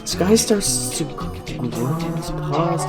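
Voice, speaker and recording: A medium-pitched voice reads fan fiction aloud as a dramatic narration. The delivery stumbles and is prone to giggly breaks, and music plays clearly behind the voice. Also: big pitch swings, very slow. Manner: stumbles and breaks into laughter while reading. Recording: music under the reading.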